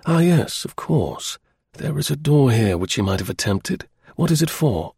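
A man voicing a brisk, observant gentleman detective in a storybook, incisive, courteous and authoritative. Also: a little high, big pitch swings, creaky, slightly rough, no echo, studio quality, pitched above the performer's own voice, full character voice. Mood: probing, brisk.

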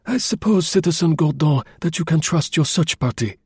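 A man's voice: a little high, lively intonation, normal speed, darkish tone, slightly rough, no echo, pitched above the performer's own voice. A man voicing a cold, scheming male official who speaks curtly and dryly. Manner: curt, dry, bland.

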